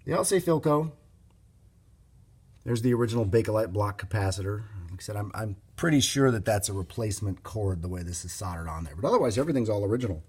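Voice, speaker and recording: A man giving an informal, unscripted hands-on demonstration as a hobbyist, explaining things in a plain-spoken, halting way. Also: fairly low pitch, expressive, a little mellow, breathy edge. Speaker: vintage radio hobbyist presenting a demonstration.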